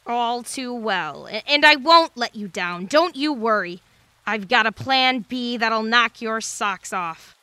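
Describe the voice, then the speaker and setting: A woman playing a cold, determined female villain in an audio drama, commanding and deliberate. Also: fairly high pitch, lively intonation, pushed and bright, clear, resonant tone, dry recording, full character voice.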